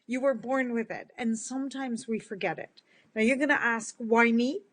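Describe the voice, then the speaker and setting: A woman in her fifties from the Netherlands, speaking with a big booming voice in an earnest, heartfelt live-stream talk to her followers as a kindness speaker. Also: fairly even intonation, normal speed, fairly bright tone.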